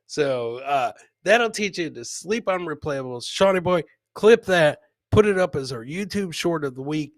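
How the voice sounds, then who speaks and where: A man's voice: fairly high pitch, lively intonation, unhurried, few pauses, no room sound. An American man in his forties doing casual, exclamatory live-stream commentary as a comedy host, often repeating his catchphrases. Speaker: comedian hosting a live clip show. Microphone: close boom studio mic with headset.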